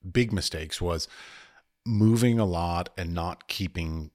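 Deep-voiced man in his forties hosting. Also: a little mellow. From Washington, D.C. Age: 40s. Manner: candid, searching, halting phrasing. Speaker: podcast host. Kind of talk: conversational interview.

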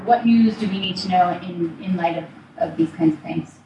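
A woman's voice: lowish voice, normal speed, muffled recording, noisy background. A woman hosting a podcast, chatting upbeat with guests in an apartment. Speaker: podcast host. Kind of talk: casual chat. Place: small apartment. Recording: roomy, distant home recording.

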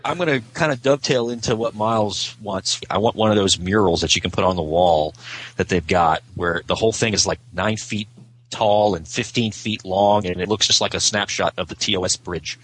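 A man's voice: on the low side, normal speed, a bit bright, slightly rough, low-quality recording. A man guesting on a podcast, chatting casually in a wry, joking way. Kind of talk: casual chat.

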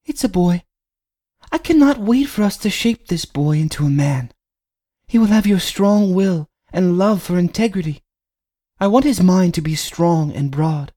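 A man's voice: high voice, expressive, a little slow, warm tone, voice pushed up. A man voicing a devoted, pregnant young wife who speaks earnestly and pleads with her husband.

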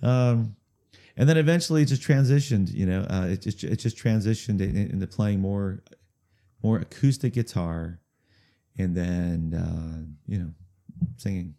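A man chatting in an interview about his music, talking in a halting way with lots of fillers, restarts and repeated words, chuckling now and then. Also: sits low, warm tone. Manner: chuckles through stories. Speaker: musician guest. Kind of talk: casual rambling interview storytelling.

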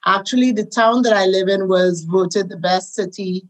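A woman with a Trinidadian accent, a guest recounting her life in a relaxed interview, with soft laughs as she talks. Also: mid-range voice, normal speed, low-quality recording. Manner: warm storytelling with soft laughs. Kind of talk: conversational interview.

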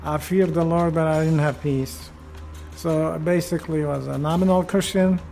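A middle-aged man from Iraq telling his family's story of persecution in an earnest, halting way. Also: on the high side, steady tone, creaky, warm tone, soft background music. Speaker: interviewee. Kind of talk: personal testimony.

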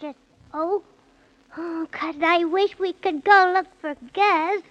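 A small, high-pitched boy of six, polite and earnest, full of innocent curiosity. The voice comes through a dull old radio drama recording with little treble. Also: fairly even intonation, slow, fairly bright tone, heavily acted. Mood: polite, puzzled. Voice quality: small childlike voice. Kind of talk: 1930s radio acting.

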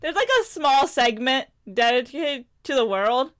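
A woman's voice: high voice, measured pace, no room sound. Teasing woman podcaster, dry sound. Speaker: podcast co-host. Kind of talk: casual chat.

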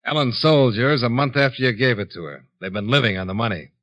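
An actor playing a shrewd, unhurried insurance investigator in a 1950s radio drama, with a dry, matter-of-fact delivery. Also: male voice, medium pitch, a bit bright, close to an everyday voice. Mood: coolly accusing. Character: cool, shrewd male insurance investigator, hard-boiled and unhurried. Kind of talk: 1950s radio detective acting. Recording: dull 1950s radio broadcast recording.

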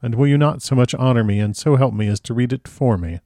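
A man's voice: mid-range voice, fast, mellow, no room sound, clean studio sound, natural voice. A man voicing an earnest old professor in a storybook reading, with formal, effusive, broken foreign phrasing. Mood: courteous, admiring. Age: older.

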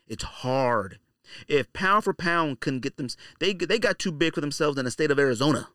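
A man's voice: fairly high pitch, expressive, normal speed, a bit bright, no echo, clean studio sound. A man hosting a podcast in a casual, opinionated monologue, blunt and slangy, repeating phrases for emphasis. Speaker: podcast host.

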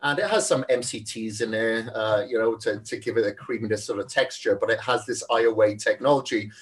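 A man's voice: lowish voice, fairly quick, recorded from a distance. A Welsh man who is a fitness trainer, answering interview questions in a friendly, chatty way and laughing easily. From Wales.

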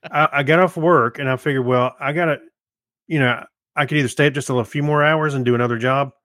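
A man's voice: medium pitch, steady tone, fast talker, fairly bright tone, dry recording, studio quality. A middle-aged man co-hosting a podcast, chatting casually and telling stories from everyday life. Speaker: podcast co-host. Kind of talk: casual chat with storytelling.

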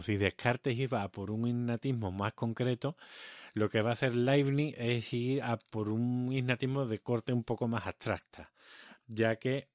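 A man's voice: medium pitch, dry recording. A man co-hosting a podcast, explaining ideas at length in a casual, playful way with fillers and restarts. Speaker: podcast co-host explaining philosophy.

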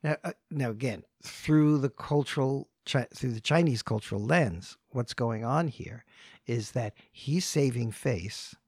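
A man's voice: mid-range voice, measured pace, a little mellow, breathy edge, no room sound, clean studio sound. A man co-hosting a podcast, telling stories in a thoughtful, casual way with frequent restarts. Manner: storytelling, thoughtful, many restarts. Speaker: podcast co-host.

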